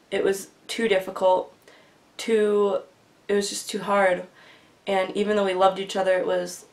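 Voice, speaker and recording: A woman in her twenties earnestly telling a long personal story in casual, rambling vlog style, her voice often dropping quieter, recorded on a webcam in a small bedroom. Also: mid-range voice. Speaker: vlogger. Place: small bedroom with bookshelf, indoors. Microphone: webcam at desk distance.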